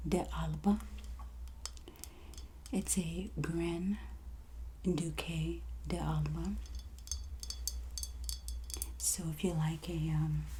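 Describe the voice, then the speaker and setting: Slow, breathy, soft-spoken ASMR woman. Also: lowish voice, slight background noise. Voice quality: soft, breathy, often near-whispered. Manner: slow, gentle, unhurried presenting. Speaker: ASMR host playing a wine and spirits merchant. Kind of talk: soft-spoken ASMR roleplay, product showcase.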